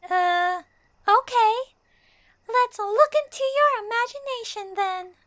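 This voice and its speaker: A tiny floating fairy sidekick from a fantasy game. The voice is extremely high and childlike, bright and squeaky, with an unhurried pace and an exclaiming, chirpy manner. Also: female voice, dry recording, full character voice. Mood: curious, observant. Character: small childlike floating fairy companion, chirpy, speaks in third person. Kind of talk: fan audio roleplay, game character voice acting.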